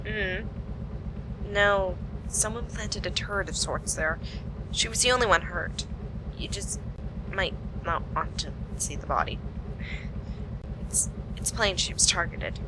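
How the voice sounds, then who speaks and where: Actress as young female private eye for a radio play: wry, determined, mid-pitched, noisy recording. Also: measured pace, pauses often, close to an everyday voice. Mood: hesitant, gentle. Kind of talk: amateur radio play acting.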